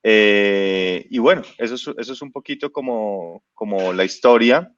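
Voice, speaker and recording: Low-voiced man, halting storytelling. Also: dry recording, dull audio. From born in Spain, raised in Ecuador and Colombia. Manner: hesitant, many fillers and restarts. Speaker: guest entrepreneur. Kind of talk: casual interview storytelling.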